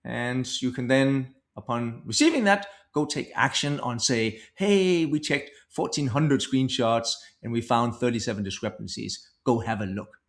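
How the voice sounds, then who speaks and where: A man giving a conversational software product demo, explaining informally step by step. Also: mid-range voice, normal speed, hardly any pauses.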